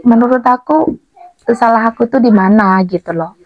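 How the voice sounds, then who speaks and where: A woman's voice: a little high, moderate pace, no echo, highs cut off, soft background music. A woman chatting casually to her viewers as a vlogger, with a cough, cold and sore throat. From Blitar, East Java, Indonesia.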